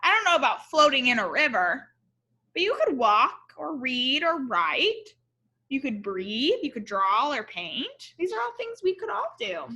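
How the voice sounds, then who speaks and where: A woman with a high, very bright voice reads a children's story aloud. She takes her time and speaks expressively, in the explanatory manner of a teacher checking in with young listeners. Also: sing-song, a little slow. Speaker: teacher reading a picture book aloud to children.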